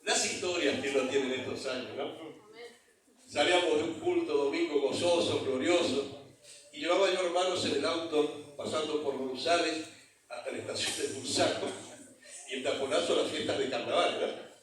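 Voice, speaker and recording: A man's voice: fairly high pitch, normal speed, a bit bright, distant mic. A man from San Juan with an Argentine accent, preaching a sermon as pastor, telling stories and calling out to the congregation. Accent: Argentine Spanish. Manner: storytelling with call-and-response to the congregation. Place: church hall. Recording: very echoey hall, voice from afar.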